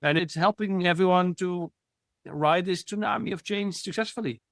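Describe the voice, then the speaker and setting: Lively man, slightly high voice. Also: normal speed, dry recording, clean studio sound. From Netherlands. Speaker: guest, futurist. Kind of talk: interview answers.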